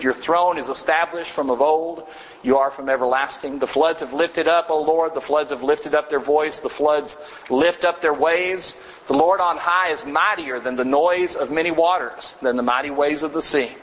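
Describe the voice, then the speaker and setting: A man teaching a church class in a casual, halting way, with many fillers and restarts. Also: on the high side, a bit bright, big-room sound, low-quality recording. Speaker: preacher teaching a congregation class. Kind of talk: informal Bible class teaching. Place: church meeting room.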